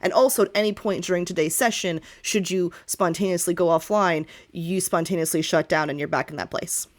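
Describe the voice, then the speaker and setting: A woman's voice: lowish voice, a little fast. A woman game master narrating a tabletop roleplay game in an informal, joking way.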